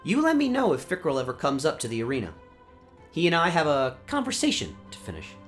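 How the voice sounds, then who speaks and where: Radio play: male reader as cocky robot gunslinger, flippant, brash, lifted pitch. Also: wildly swooping pitch, fairly quick, soft background music. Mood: smooth, menacing.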